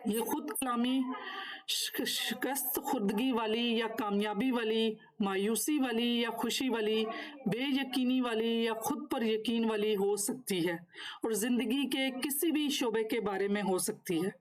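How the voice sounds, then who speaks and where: A woman with a fairly high voice teaches a course. She moves between reading prepared notes and explaining them, keeping her wording formal and instructive. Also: a little slow, low-quality recording. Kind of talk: lecture, partly read from notes with spoken explanations.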